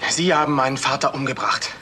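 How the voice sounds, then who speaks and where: An actor plays a bitter young man in a crime radio play, accusing and wounded. His voice sits at a medium pitch, with faint noise behind it. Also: steady tone, quick pace, natural voice. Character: bitter, accusing young son of a dead convict. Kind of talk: naturalistic German radio crime drama.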